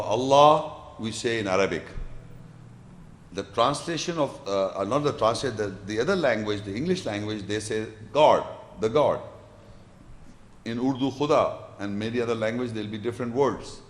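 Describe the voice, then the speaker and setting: Man lecturing in an echoey hall. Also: mid-range voice. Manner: explanatory, repeats words as he builds sentences; recites scripture verses in Arabic. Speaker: Islamic lecturer answering audience questions.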